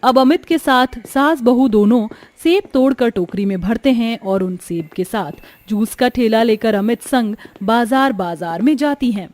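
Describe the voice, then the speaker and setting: A woman narrating a scripted animated moral story as its storyteller. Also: a little high, unhurried, few pauses, no room sound.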